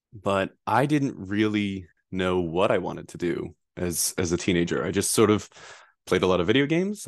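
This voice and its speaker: A man in his thirties from Rhode Island, a guest answering interview questions in a relaxed, rambling way with frequent fillers. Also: deep voice, dry recording, studio quality. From Rhode Island, USA. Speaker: guest, privacy attorney.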